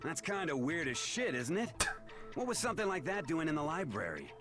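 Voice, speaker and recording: A man's voice: fairly high pitch, sing-song, fairly quick, loud music behind the voice. A man chatting casually as he comments on a friend's game stream. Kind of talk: casual chat.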